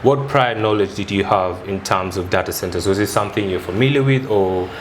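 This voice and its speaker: Low-voiced podcast host in an echoey room. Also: male voice, fairly quick. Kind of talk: casual interview. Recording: echoey room with clear background noise.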